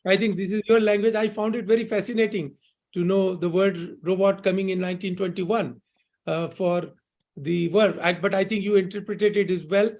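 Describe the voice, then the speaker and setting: A man's voice: high-pitched, fairly even intonation, normal speed, a little mellow. Surgeon, male, upper register, upbeat webinar answers peppered with "you know". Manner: fluent, optimistic, repeats "you know" and "I think".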